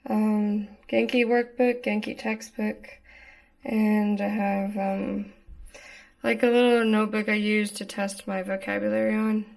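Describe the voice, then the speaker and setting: A woman's voice: mid-range voice, little pitch movement, unhurried, gravelly, darkish tone. A young woman from Texas, chatting casually in a vlog, hesitant and full of ums. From Texas, United States. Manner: hesitant, lots of ums and likes. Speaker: vlogger.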